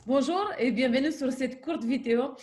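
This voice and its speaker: A woman's voice: high-pitched, moderate pace, darkish tone, faint music behind. A woman in her twenties from Romania giving a sales presentation, eager and fluent but with frequent hesitations. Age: 20s. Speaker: network marketing presenter.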